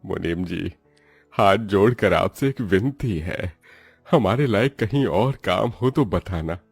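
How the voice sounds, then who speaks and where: A man's voice: low voice, animated, slow, creaky, husky, no echo, soft background music, full character voice. A man playing a humble, weary old laborer and family elder in a cartoon story. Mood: humble, resigned.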